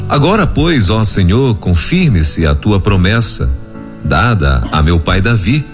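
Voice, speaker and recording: Jovial male radio host with a low voice and lively swings in pitch, on a radio broadcast with faint music in the background. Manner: jovial, laughs often, reads listener questions. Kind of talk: live radio chat.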